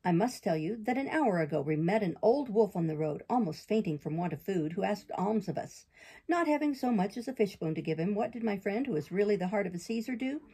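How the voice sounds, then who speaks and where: A woman voicing a sly, flattering male fox in a storybook reading, speaking with smooth, theatrical sympathy. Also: medium pitch, fairly quick.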